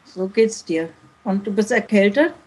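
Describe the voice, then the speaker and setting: A woman playing a question game on a podcast, asking quick, brisk questions in a casual way. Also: mid-range voice, animated, darkish tone, husky, poor audio. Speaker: podcast guest player.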